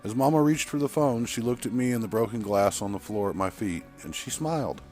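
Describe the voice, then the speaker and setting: Man voicing a wistful woman remembering, animated pitch. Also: a little fast, faint music behind, lightly acted. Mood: wistful, earnest. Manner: earnest, reflective storytelling. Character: wistful woman remembering her trucker father. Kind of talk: acted dialogue in an audio story.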